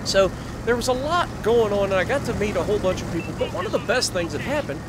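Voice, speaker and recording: An American man in his thirties tells a casual story with plenty of joking exclamation. His voice sits high and swings widely up and down. Clear game and engine noise runs behind him. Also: quick pace. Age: 30s. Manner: animated, jokey, exclamatory. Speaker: gaming video creator. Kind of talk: casual storytelling over gameplay.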